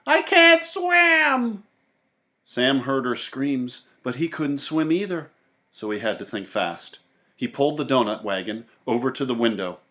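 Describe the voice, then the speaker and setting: A man reading a children's story aloud in a theatrical, expressive way. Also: medium pitch, normal speed. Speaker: storybook reader. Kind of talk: animated children's story narration.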